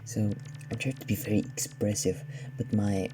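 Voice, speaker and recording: A man's voice: lowish voice, level tone, normal speed, mellow, a little hiss or hum. A man giving casual, hesitant commentary while playing a game, his voice sardonic and a little down. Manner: sardonic, slightly down-sounding, hesitant with many fillers. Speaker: let's play gamer. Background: game music.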